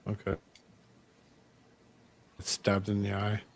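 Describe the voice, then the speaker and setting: Low-voiced casual male co-host. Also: completely flat delivery, slow, vocal fry. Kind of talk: casual off-the-cuff remarks.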